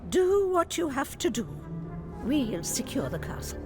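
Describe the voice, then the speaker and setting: An actress with a British accent voicing a stern, commanding older witch professor in a video game, brisk and formal. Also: high voice, sing-song. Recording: loud music over the voice.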